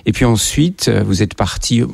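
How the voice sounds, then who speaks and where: A man's voice: fairly low pitch, expressive, moderate pace, vocal fry, a little mellow, husky, no room sound. A male interviewer asking questions and prompting his guest, often finishing his sentences.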